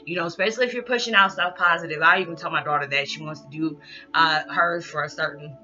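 A loud, rowdy woman in her thirties talks straight to her camera, with lively hand gestures, giving motivational advice. The sound is dull and lo-fi from a laptop mic, with music playing clearly behind her. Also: low voice, fast talker. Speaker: vlogger. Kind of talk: casual motivational talk to camera. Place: small living room indoors. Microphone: laptop built-in mic.

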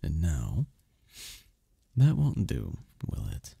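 A man voicing a seductive, possessive demon prince in an ASMR roleplay, with a husky, gravelly voice and a regal, flirtatious manner. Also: low voice, wildly swooping pitch, warm tone, dry recording, studio quality, full character voice. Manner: regal, slow-savouring, flirtatious.

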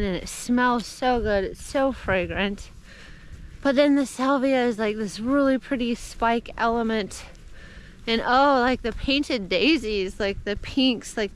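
A woman's voice: fairly high pitch, a little hiss or hum, low-quality recording. A woman in her forties, a flower farmer, chatting casually and indecisively while she walks around her farm with a camera held at arm's length. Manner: chatty, indecisive, often laughs and says "like". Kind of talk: casual walking vlog. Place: outdoors on a rural farm in winter. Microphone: handheld wide-angle camera at arm's length.

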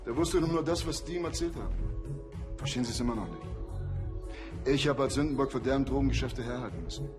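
Man playing slick nightclub boss on the defensive, tv crime show, average pitch, music behind. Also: fast talker, pauses often, close to an everyday voice. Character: defensive, smooth-talking male red-light club boss. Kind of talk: TV crime comedy acting.

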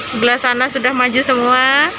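A woman's voice: high-pitched, level tone, brisk, very noisy. A young woman chatting casually to her viewers on a phone held at arm's length. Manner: chatty, addresses viewers as guys. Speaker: vlogger. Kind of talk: casual vlog narration. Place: crowded indoor stadium.

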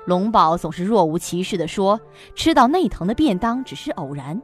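A woman's voice: mid-range voice, lively intonation, moderate pace, soft background music. A woman reading scripted narration for a suspense audio drama.

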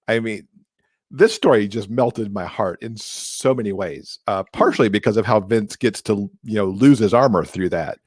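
A man's voice: fairly low pitch, normal speed, husky, dry recording. A middle-aged man hosting a casual interview, asking questions into a close microphone. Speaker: podcast co-host, interviewer. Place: indoor room, on a video call. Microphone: close podcast microphone.

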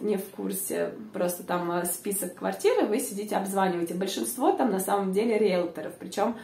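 A young woman with a hoarse voice, chatting casually to her viewers with lots of filler sounds, recorded on a lapel mic in a small room. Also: mid-range voice, a little mellow, reverberant, recorded from a distance, a little hiss or hum. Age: young adult. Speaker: vlogger. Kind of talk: casual informative vlog.

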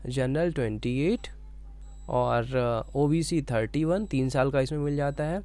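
A man's voice: medium pitch, unhurried, darkish tone. A man teaching informally as an explainer, repeating points and often checking in with short tags. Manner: repetitive, checking in with "okay" tags. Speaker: teacher explaining an exam notification.

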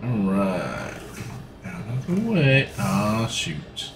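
Slow, easygoing man streaming. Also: on the low side, huge pitch swings, creaky, music in the background. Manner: easygoing, rambling, talks while playing. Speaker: livestreamer. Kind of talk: casual gaming livestream commentary. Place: home room, seated in a gaming seat.

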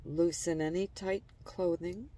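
A woman narrating a guided imagery relaxation in a calm, gentle, soothing manner, letting phrase endings trail softly. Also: on the low side, measured pace, recorded from a distance.

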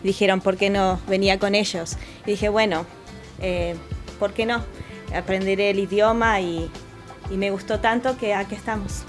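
A woman's voice: mid-range voice, steady tone, moderate pace, faint music behind. A young woman with a Rioplatense accent, a guest telling her story casually in a living room. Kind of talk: casual interview talk.

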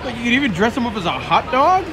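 A man's voice: extremely high pitch, big pitch swings, fast. A man giving an informal, enthusiastic walk-and-talk vlog narration, casually peppering his sentences with "like.". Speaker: vlog host reviewing a ballpark. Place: busy baseball stadium concourses and shops. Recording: loud crowd and stadium noise. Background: loud crowd chatter and venue noise.

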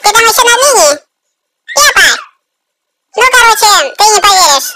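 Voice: very high voice, animated, normal speed, bright, forceful tone, exaggerated voice. A performer voicing a bratty, whiny young pig girl in a rude parody cartoon dub. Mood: indignant, whiny.

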